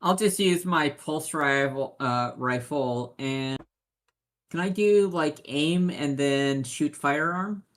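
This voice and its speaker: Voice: mid-range voice, slow pace, fairly bright tone. A tabletop game player talking casually and hesitantly, cautiously working out each move and asking questions.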